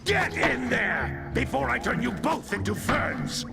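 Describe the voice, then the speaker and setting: An actor playing a grumpy old sage in a cartoonish video game, a pompous, scolding mentor. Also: male voice, very high voice, big pitch swings, a little slow, vocal fry, exaggerated voice. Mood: exasperated. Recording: loud music under the voice.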